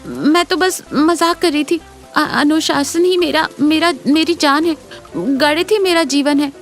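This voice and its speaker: Woman as a high-voiced prim young schoolteacher. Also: moderate pace, faint music behind, close to an everyday voice. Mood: flustered. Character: prim young schoolteacher, 23, dutiful but secretly fed up.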